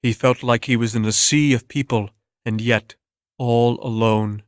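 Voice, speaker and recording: A man reading a scripted story as narrator, in a measured way with dramatic pauses. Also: mid-range voice, fairly even intonation, clean tone, no room sound, studio quality.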